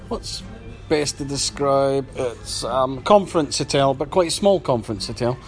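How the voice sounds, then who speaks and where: A man's voice: medium pitch, normal speed, soft background music. A man hosting a casual podcast chat, recorded on location at a hotel breakfast. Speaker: podcast host.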